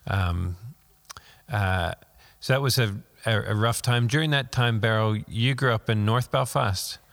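A man interviewing guests, asking gentle, wandering questions full of fillers. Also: on the low side, unhurried, vocal fry.

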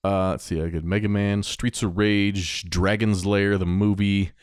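Low-voiced man, dry close sound. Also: level tone, a little slow, clear, resonant tone, no room sound. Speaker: podcast co-host. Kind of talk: casual geek-culture chat.

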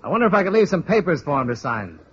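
An actor playing a hard-boiled American insurance investigator in a vintage radio drama, cool, terse and steady in narration and dialogue. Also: male voice, a little high, rapid-fire, slightly rough. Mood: alert, calling out. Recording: muffled, noisy old radio recording.

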